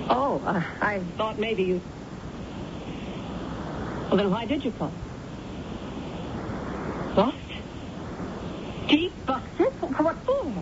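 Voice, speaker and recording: An actress playing a middle-aged American businesswoman and controlling, wounded mother, brisk and emotional, in 1970s radio drama style. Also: mid-range voice. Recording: muffled, noisy old radio broadcast recording.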